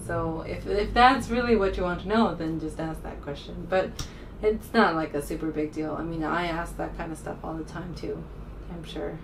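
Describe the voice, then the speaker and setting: A woman from the United States speaks in a casual, rambling vlog style, with a fairly low voice. She is informal and a little hesitant. The mic sits a bit away from her, with faint noise in the recording. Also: fairly even intonation, moderate pace, gravelly, recorded from a distance. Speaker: vlogger.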